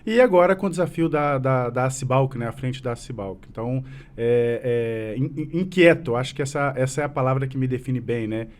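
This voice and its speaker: A man's voice: medium pitch, a little fast. A man in his thirties from Minas Gerais with a fading mineiro accent, answering interview questions earnestly and rambling with many restarts. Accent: mineiro Brazilian Portuguese, fading. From Minas Gerais, Brazil. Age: 30s. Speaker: business association president, guest. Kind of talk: casual interview conversation.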